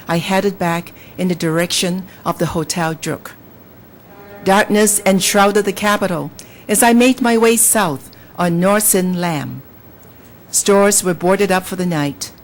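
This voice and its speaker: A woman's voice: medium pitch, a little hiss or hum. A woman from Hong Kong whose first language is Cantonese, a novelist reading a passage from her book and then answering interview questions with hesitant fillers. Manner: measured, lively when reading, hesitant with fillers in talk. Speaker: novelist guest.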